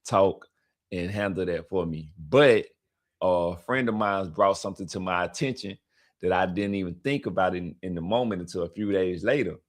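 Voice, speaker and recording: Brief: man, low, heavy and loud voice, emphatic live-stream storyteller. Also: moderate pace. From United States. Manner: emphatic, repetitive, preacher-like urging. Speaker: travel vlogger telling a story. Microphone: streaming mic.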